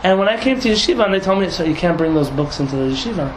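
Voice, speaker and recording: A young man from San Diego, a rabbi talking casually to a group, lively and laughing with many restarts and asides. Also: high voice, racing. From San Diego, United States. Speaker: rabbi teaching a class. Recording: echoey room, audible noise.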